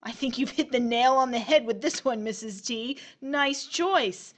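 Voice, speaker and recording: Woman as a brisk, gleeful afterlife agent, higher-pitched. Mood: brisk, wry. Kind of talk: audio horror drama acting.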